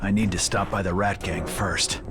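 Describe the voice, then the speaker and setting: An actor voicing a gruff, stoic and defiant rabbit fighter in a video game, terse and sardonic. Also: male voice, deep voice, fairly even intonation, normal speed, loud music behind the voice, natural voice. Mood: serious.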